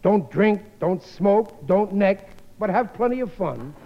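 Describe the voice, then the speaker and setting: A man's voice: high voice, animated, slow pace, exaggerated voice. An actor playing a hapless, blustering working-class father in a 1950s radio sitcom, stammering and indignant as he talks. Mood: defensive, proud. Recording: muffled old radio broadcast, reverberant.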